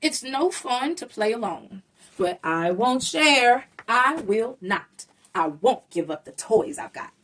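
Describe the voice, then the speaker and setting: Woman acting selfish little boy creature, husky, childish, possessive, animated swings, storybook character. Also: fairly high pitch, takes it slowly, distant mic, exaggerated voice. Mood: sulky, stubborn. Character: selfish, stubborn young boy creature who grabs toys.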